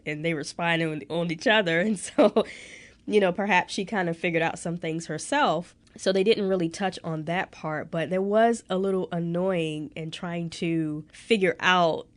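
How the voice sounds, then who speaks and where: A woman's voice: fairly low pitch, measured pace. A young woman co-hosting a podcast in casual chat, with a nasal, congested voice, laughing often. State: congested nose. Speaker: podcast co-host.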